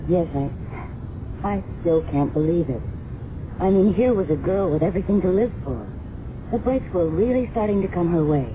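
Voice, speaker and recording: A woman's voice: on the low side, animated, normal speed, lightly acted. An American actress playing a shaken, candid roommate of a murdered woman in a 1970s radio drama, confiding hesitantly. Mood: shaken, wistful. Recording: muffled, noisy 1970s radio broadcast recording.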